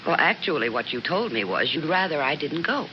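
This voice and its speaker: Exasperated American wife, animated. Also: female voice, low voice, brisk. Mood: exasperated, pleading. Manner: pleading, sardonic, emphatic. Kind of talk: 1970s radio drama acting. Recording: muffled, very noisy 1970s radio recording.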